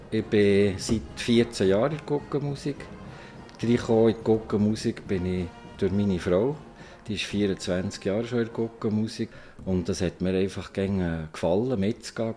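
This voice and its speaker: A man with a Swiss German accent speaks in a low, mellow voice, with faint music behind him. He answers in plain, friendly words. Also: moderate pace. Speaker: band president. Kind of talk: interview answers.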